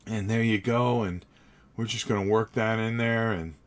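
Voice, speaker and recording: A man's voice: on the low side, fairly even intonation, normal speed. Sniffly middle-aged man painting on a livestream. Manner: rambling, repeats words, talks to chat. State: sniffly from a cold. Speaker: hobby livestream host. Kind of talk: casual livestream narration while painting.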